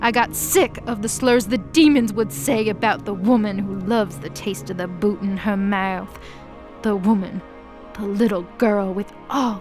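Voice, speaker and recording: A woman's voice: a little high, lightly acted. A woman playing an embittered, defiant demon of hell in an audio drama, speaking with passion and slangy sarcasm. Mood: impassioned, outraged. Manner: impassioned, sarcastic, casual slangy speech. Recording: prominent music under the voice.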